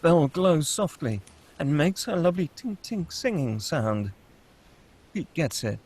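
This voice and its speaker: Guy reading out screenplay directions at a table read, voice a bit on the high side. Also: big pitch swings, measured pace, a little mellow, breathy edge. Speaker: narrator reading stage directions.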